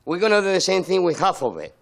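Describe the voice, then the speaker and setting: A man's voice: high voice, sing-song, fast, gravelly, a crowd in the background. Older Spanish-accented man explaining his craft. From Spain, born in Andalusia, grew up in Madrid. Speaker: sculptor being interviewed. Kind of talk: explaining his work in an interview.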